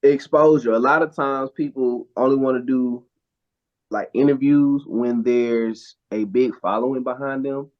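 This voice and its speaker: A man's voice: mid-range voice, fairly even intonation, unhurried. A man, a guest actor and model, talks in a casual interview. He gives earnest, emphatic advice and often restarts his sentences. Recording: dull lo-fi sound.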